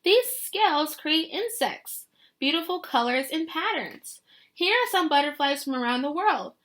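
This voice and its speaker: A woman with a very high, bright voice reads aloud as a teacher to young children. Her delivery is animated and instructive, and she often turns to her listeners with questions. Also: unhurried. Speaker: teacher reading a picture book to children.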